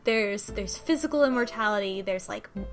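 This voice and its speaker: A woman co-hosting a podcast, casually retelling a story in halting bursts with false starts and some swearing. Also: medium pitch, soft background music. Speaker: podcast co-host recapping a story. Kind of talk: casual conversational plot recap.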